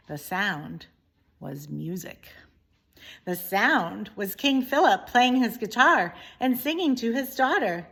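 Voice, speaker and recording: A woman reading a children's story aloud in an expressive, deliberate way with dramatic pauses. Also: medium pitch. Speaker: teacher reading a book aloud.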